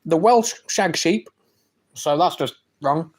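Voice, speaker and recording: A man with an English accent hosts a casual live stream, answering chat with boastful, teasing banter. His voice sits a little higher than most men's, and he talks in loose, rambling bursts. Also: lively intonation, takes it slowly. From England. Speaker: livestream host reading chat.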